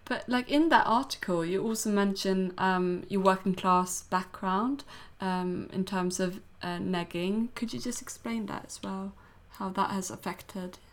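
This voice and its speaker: A woman's voice: mid-range voice, steady tone, unhurried. A woman interviewer asking questions and responding briefly in a conversational way.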